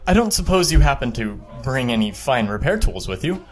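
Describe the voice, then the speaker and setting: A man's voice: mid-range voice, big pitch swings, brisk, faint music behind, natural voice. An actor playing an earnest, polite man on an 1870s expedition in an audio drama. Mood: polite, worried.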